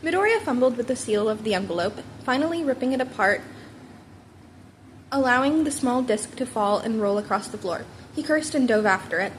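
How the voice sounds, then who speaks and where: A woman's voice: on the high side, lively intonation, brisk, slight background noise, rough recording. A woman narrating fan fiction expressively and informally, sometimes stumbling over words and rereading them. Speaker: fan fiction audiobook reader. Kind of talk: expressive scripted story narration.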